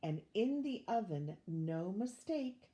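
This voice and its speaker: An older woman reading a rhyming children's story aloud in an expressive, measured way. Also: mid-range voice. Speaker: storybook reader, retired teacher.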